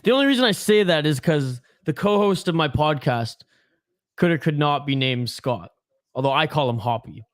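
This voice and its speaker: A young Canadian man with a fairly high voice hosts a hockey watch-along stream. He chats loosely, swears, and answers viewer comments aloud. His voice is close and dry, with no room sound. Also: expressive, moderate pace, no echo. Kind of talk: casual live-stream chat.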